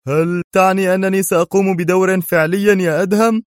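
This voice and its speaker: A man's voice: high voice, steady tone, fairly quick, no room sound, studio quality, pitched above the performer's own voice, natural voice. A man voicing a jovial, boisterous, very fat forger with a cheerful manner.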